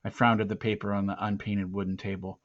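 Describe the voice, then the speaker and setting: A man reading a novel aloud in an expressive first-person storytelling style. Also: on the low side, quick pace. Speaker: teacher reading a book aloud. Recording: slightly muffled, dull recording.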